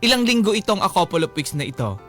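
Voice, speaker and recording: A man's voice: sits high, sing-song, fast, fairly bright tone, soft background music. A man with a Filipino accent co-hosting radio, chatting casually with teasing, exclamatory reactions. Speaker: radio show co-host. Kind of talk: casual chat, reacting to a caller.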